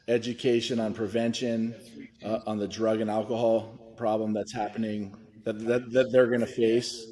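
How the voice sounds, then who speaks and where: A man in his forties from Hawaii, a foundation director speaking candidly and haltingly in an interview, often restarting his sentences. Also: fairly low pitch, dark tone. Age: 40s. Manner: earnest, halting, restarts a lot. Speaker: nonprofit foundation director, guest.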